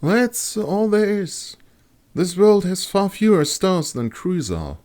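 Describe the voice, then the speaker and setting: A man voicing a knowledgeable woman astrologer in a fantasy audiobook, explaining things in a measured, assured way. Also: high voice, very animated, a little mellow, pitched above the performer's own voice, full character voice.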